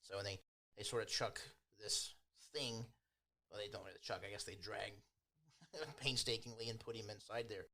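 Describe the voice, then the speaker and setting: Bright, low-voiced male game master. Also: moderate pace. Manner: informal, chuckles while narrating. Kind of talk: casual improvised game narration.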